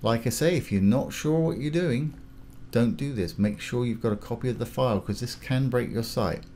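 A man hosting a casual step-by-step software tutorial, informally talking through each action as he goes. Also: medium pitch, normal speed. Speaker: tutorial host. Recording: clear background noise, keyboard typing.

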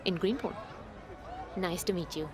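A woman's voice: lowish voice, a little slow, over music, lightly acted. An actress playing a polite, enigmatic woman who is new to a village, in a radio soap. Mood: polite, knowing. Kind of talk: naturalistic radio soap acting.

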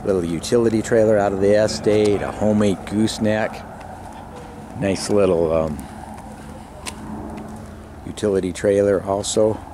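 A man's voice: low-pitched, warm tone. A man giving a casual, unscripted walk-around narration as an auctioneer promoting a sale. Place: large warehouse showroom, later outdoor lot. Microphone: handheld camera mic, operator behind camera. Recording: camcorder audio with faint background noise.

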